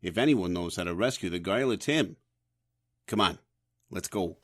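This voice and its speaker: A man's voice: sits low, lively intonation, moderate pace. An actor playing a blunt, no-nonsense older man, a loyal prodding friend in an audio drama. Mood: blunt, urging.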